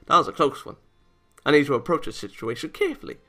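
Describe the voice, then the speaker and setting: Gravelly, bright-voiced man reading aloud. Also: a little high, wildly swooping pitch, fast talker. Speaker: let's-play narrator. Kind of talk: reading a visual novel aloud. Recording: music behind the voice. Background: game music.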